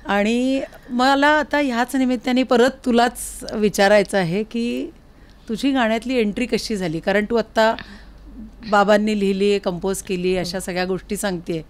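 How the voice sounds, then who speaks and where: A woman's voice: mid-range voice, moderate pace, smooth. A woman hosting a podcast, speaking conversationally in an encouraging way as she interviews guests in a studio. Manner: encouraging, interjects short acknowledgements. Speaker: podcast host. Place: recording studio.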